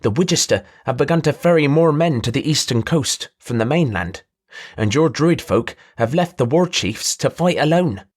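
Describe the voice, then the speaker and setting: A man's voice: mid-range voice, lively intonation, normal speed, pitched above the performer's own voice, close to an everyday voice. A man voicing a cheerful middle-aged male merchant, nasal, theatrical and good-natured. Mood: earnest.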